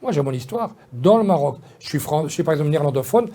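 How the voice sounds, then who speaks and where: A man's voice: on the high side, animated, fast talker, warm tone. A man, talking casually in an interview, rambling with many fillers and restarts. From Belgium. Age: 50s. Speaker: politician guest.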